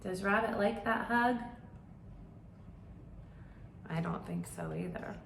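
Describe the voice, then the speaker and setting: A woman's voice: medium pitch, moderate pace, vocal fry, echoey room, noisy background. A woman doing a read-aloud for young children, explaining simply and prompting them with questions.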